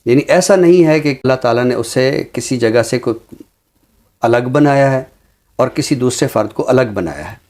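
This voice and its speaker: A man with a Pakistani accent giving reflective commentary like a teacher, measured and explanatory, with deliberate pauses. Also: medium pitch, animated, darkish tone. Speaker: teacher and programme guest. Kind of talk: reflective social commentary in an interview.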